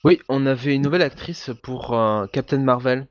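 A man in a casual chat, passing on news with hesitant pauses on fillers. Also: mid-range voice, highs cut off.

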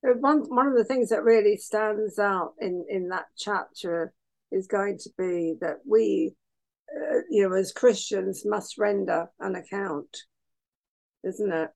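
Female radio host, croaky with hay fever, gravelly and unhurried, chatty sign-off. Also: medium pitch, low-quality recording. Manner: chatty, laughing, warm asides. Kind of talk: casual radio sign-off chat.

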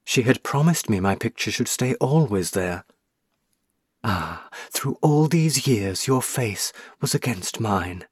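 A man's voice: on the low side, lively intonation, slow pace, husky, no room sound, studio quality, heavily acted. A man voicing a tender, grieving woman ghost. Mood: anguished. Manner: pleading, tender, rising to anguish. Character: young woman ghost, a burned witch, loving and sorrowful. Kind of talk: audiobook reading.

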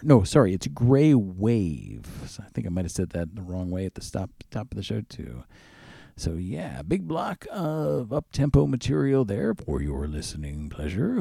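A man with a low, gravelly voice presents a music radio show. His delivery is informal and conversational, with very animated pitch movement. The sound is close and dry. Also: dry recording. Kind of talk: casual music radio presenting, announcing tracks.

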